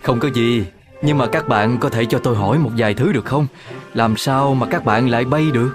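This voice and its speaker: A man's voice: mid-range voice, a little fast, close to an everyday voice. A man voicing an earnest, heroic dog who leads his team in a children's cartoon, emphatic and encouraging, over music. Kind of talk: animated children's cartoon acting.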